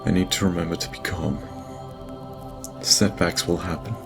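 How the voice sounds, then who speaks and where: Man acting a shaken, low, gravelly-voiced lord. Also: flat delivery. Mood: distressed, remorseful. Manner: halting, stammering, broken off with sighs. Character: shaken, guilt-ridden lord dictating a private log. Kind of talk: audio drama acting, personal log monologue. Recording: music behind the voice. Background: music.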